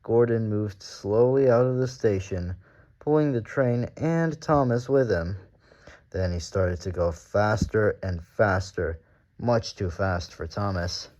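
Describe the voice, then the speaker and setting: Low-voiced, unhurried man reading a storybook. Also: a little mellow. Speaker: story reader. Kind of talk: children's storybook read-aloud narration.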